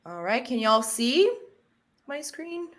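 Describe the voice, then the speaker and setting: A woman's voice: sits high, sing-song, slow pace. Brazilian lady w accent hosting a stream, laughs a ton, casual, bit of swearing. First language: Portuguese. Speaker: stream host. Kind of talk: casual live-stream chat.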